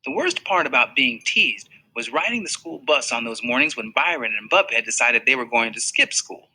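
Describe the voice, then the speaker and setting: A man reading a children's novel aloud in an expressive storytelling manner. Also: mid-range voice, moderate pace, husky, rough recording. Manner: expressive storytelling, voices for characters. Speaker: reader.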